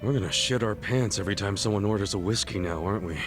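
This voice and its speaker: An actor playing an easygoing, level-headed buddy in a video game cutscene, speaking casually and earnestly. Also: male voice, on the low side, fast talker, over music, close to an everyday voice. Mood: casual concern. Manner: casual, incredulous, reassuring.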